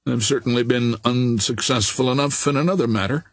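A man voicing a lovesick, bantering young gentleman in an audiobook, earnest and teasing. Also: medium pitch, a little fast, no room sound, natural voice. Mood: rueful.

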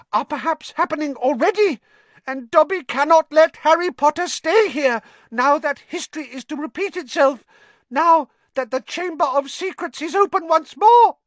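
Storybook house-elf: small, squeaky, high-pitched, anxious, fawning, stammering pleas. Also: male voice, lively intonation, moderate pace, a bit bright, dry recording. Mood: dread. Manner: stammering, pleading, speaks of self in third person. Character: anxious, servile little male house-elf, miserable and devoted.

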